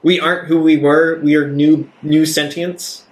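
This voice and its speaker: A man's voice: on the high side, flat delivery, measured pace. A man playing in a tabletop roleplaying game, improvising casually in a dry, offhand way. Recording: roomy sound under loud guitar music.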